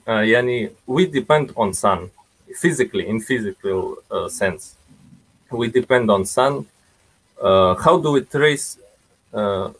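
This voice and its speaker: A man with a Russian accent calling in with a question, speaking haltingly as he searches for words. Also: mid-range voice, poor audio. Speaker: caller asking a question.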